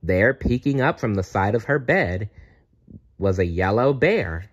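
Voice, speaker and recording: Low-pitched man reading a children's story. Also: lively intonation, unhurried, slightly rough, highs cut off. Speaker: storybook reader. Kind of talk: children's read-aloud narration.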